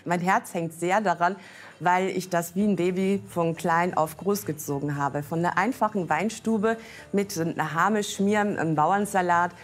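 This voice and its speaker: A middle-aged woman with a slightly low voice explains her restaurant with evident pride. She speaks into a lapel mic. Faint music sits behind her. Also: moderate pace. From Austria. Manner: proud, eager to explain. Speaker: restaurant owner.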